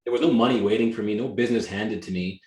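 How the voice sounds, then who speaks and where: A man's voice: on the low side, fast talker, a little mellow. A Canadian-born man whose first language was Greek, talking energetically and emphatically as a guest in a casual interview. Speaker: guest, real estate team leader. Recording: echoey room.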